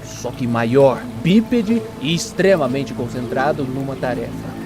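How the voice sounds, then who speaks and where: A Brazilian man narrates an adventure audiobook in a mid-pitched voice. His delivery is scripted and dramatic, as the storyteller of an audio drama. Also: measured pace, over music.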